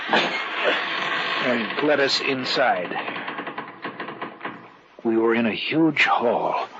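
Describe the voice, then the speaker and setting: An actor with an American accent playing a stubborn, sceptical husband who also narrates an old radio horror drama. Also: male voice, medium pitch, sharp tone. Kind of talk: 1940s-50s radio drama acting and narration. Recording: dull hissy old radio recording.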